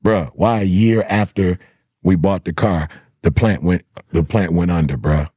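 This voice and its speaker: A man telling a story in an animated, casual way, slangy and emphatic, often punctuating with bruh and bro. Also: low voice, a little slow, breathy edge, no room sound, clean studio sound. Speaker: podcast host.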